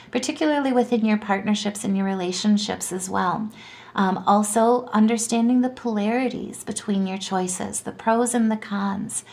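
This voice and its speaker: A woman with a cold and a stuffy voice giving a tarot reading for viewers, calm and encouraging, with some fillers and self-corrections. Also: medium pitch, vocal fry. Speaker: tarot reader.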